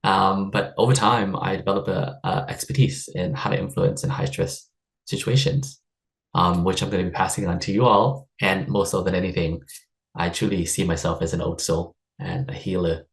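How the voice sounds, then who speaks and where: A man's voice: sits low, steady tone, moderate pace, creaky, rough recording. A man who grew up in the United States, leading a live online workshop as a stress and wellbeing coach over a video call, in an upbeat, chatty way with frequent laughs and fillers. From United States (Seattle area).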